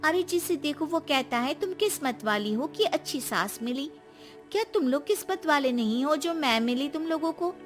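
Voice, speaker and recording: A woman voicing a scheming young daughter-in-law in a cartoon story, shrill and whining. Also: lively intonation, normal speed, faint music behind. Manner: shrill, whining, fake sobbing.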